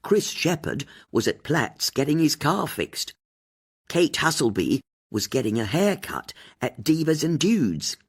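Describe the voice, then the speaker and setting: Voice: mid-range voice, sing-song, a little slow, slightly rough, voice pushed up, exaggerated voice. An audiobook narrator voicing a blunt, indignant woman amateur detective, forthright and a little boastful. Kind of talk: audiobook character dialogue.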